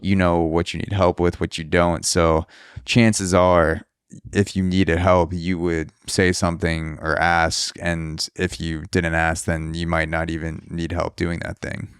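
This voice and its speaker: Deep-voiced male podcast host. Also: level tone, a little slow, clean tone, no echo, clean studio sound. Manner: rambling, restarts questions often. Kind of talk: casual interview.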